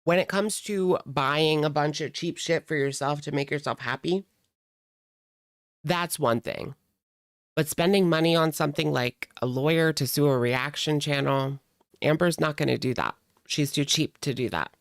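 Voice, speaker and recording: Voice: medium pitch, moderate pace, dry recording, clean studio sound. A reaction channel host talking casually in a blunt, mocking and sardonic way while commenting on a video.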